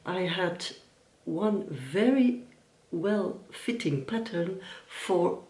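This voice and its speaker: Slow, careful Belgian woman, roomy sound. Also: fairly low pitch, expressive, darkish tone. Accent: Dutch-speaking Belgian (Flemish). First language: Dutch. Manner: careful, unhurried, with frequent ums and restarts. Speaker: YouTube sewing and knitting host. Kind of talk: casual vlog talk to camera. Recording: roomy echo from some distance.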